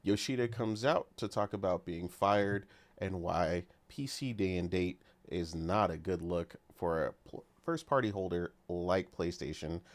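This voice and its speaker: A man hosting a gaming podcast, opening the episode in a brisk, upbeat manner. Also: sits low. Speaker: podcast host.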